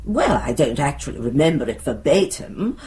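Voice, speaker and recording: Low-voiced, haughty older British woman. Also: very animated, moderate pace, mellow. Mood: precise, opinionated. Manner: prim, precise, indignant certainty. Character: haughty, opinionated older woman, a prickly witness. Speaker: actress in a radio crime drama. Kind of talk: British radio drama acting.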